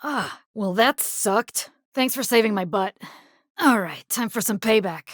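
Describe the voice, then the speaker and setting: A woman's voice: medium pitch, animated, measured pace, dry recording, studio quality. An actress playing a tough, wisecracking young woman engineer in a video game, with a Puerto Rican accent, slangy and dropping in Spanish words. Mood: pained, grumbling. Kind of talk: video game character voice lines.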